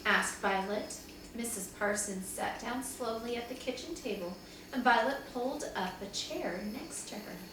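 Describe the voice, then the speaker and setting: A woman's voice: on the high side, unhurried. A woman reading a children's chapter book aloud like a teacher to her class, expressively, with small asides to listeners. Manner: expressive, acts out dialogue with asides. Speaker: second-grade teacher reading aloud to pupils. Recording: echoey, distant mic, noticeable background noise.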